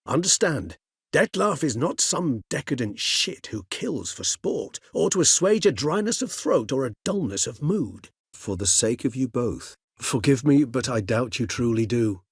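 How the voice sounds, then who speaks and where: Husky, erudite ancient vampire gentleman. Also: mid-range voice, very animated, no room sound, clean studio sound, full character voice. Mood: grave, earnest. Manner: eloquent, formal, wryly measured, precise. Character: urbane, erudite ancient male vampire, courteous and loyal. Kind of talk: video game character acting.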